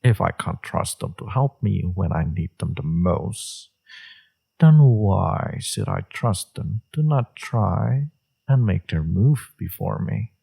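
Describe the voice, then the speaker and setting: A very deep, dark-toned male villain acted by a man murmurs through a romance roleplay. His voice is husky and takes its time. He is smug and seductive, teasing his enemy with complete self-assurance. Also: expressive, takes it slowly, heavily acted. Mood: earnest, resolute. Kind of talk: romantic audio roleplay, intimate monologue.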